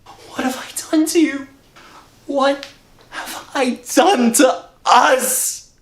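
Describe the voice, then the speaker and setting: A man's voice: very high voice, extremely slow, slight background noise, full character voice. An actor playing a desperate, guilt-ridden young man in a melodramatic 1960s radio thriller. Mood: bitter, anguished. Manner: melodramatic, anguished, swinging from hushed to shouting. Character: desperate, guilt-ridden poor young man, thief, narrating his ordeal. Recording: roomy old radio recording with music.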